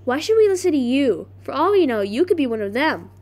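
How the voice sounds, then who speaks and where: A woman with flu voicing a distrustful, defiant young female survivor in an amateur animated movie audition. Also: high voice, a little fast. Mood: suspicious, defiant. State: sick with flu, voice cracking.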